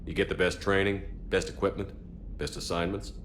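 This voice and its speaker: A man's voice: low-pitched, little pitch movement, brisk, fairly bright tone, a little hiss or hum. A man playing a steadfast space military commander in a video game, speaking in a firm, direct, resolute way. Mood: calm, direct. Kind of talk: video game dialogue acting.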